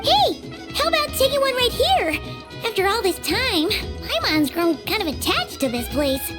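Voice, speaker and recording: Very high, squeaky little fairy companion. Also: female voice, sing-song, moderate pace, over music. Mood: excited. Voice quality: squeaky, shrill, childlike. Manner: speaks of herself in the third person, exclamatory. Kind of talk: English video game voice acting.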